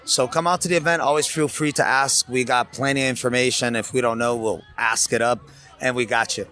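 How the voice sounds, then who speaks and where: A man's voice: medium pitch, fairly even intonation, brisk, sharp tone. A man working a vendor booth, chatting enthusiastically in an outdoor interview at a race event. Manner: enthusiastic, conversational.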